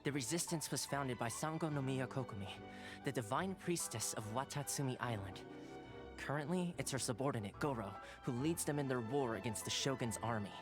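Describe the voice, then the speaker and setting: An actor playing a loyal young retainer in an anime video game, speaking in a measured, courteous and reassuring way. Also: male voice, mid-range voice, close to an everyday voice. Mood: calm, informative. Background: game music.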